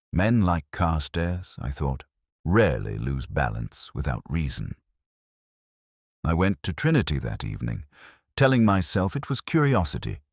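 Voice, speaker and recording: A man voicing an ageing, melancholy detective, narrating a literary radio drama in a measured, reflective, solemn manner. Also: very deep voice, darkish tone, dry recording, clean studio sound, exaggerated voice. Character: ageing, melancholy male detective, wry and brooding.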